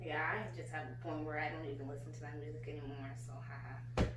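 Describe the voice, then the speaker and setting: A young adult woman with an American accent hosting a casual chat in an informal, halting way. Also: low-pitched, flat delivery, far from the mic. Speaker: vlog host, a daughter. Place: small living room, on a couch. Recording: roomy, off-mic, faint hum.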